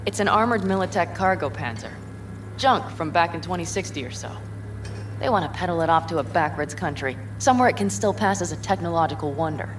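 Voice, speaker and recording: Lowish-voiced tough nomad woman played by an actress. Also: animated, music in the background, lightly acted. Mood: determined. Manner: brisk, blunt. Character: tough, determined young female nomad leader. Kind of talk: video game dialogue.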